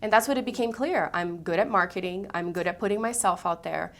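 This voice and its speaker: A woman's voice: on the low side, fairly quick, fairly bright tone. Brazilian-born woman, earnest storyteller. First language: Portuguese. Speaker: guest, singer and speaker coach. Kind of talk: interview conversation.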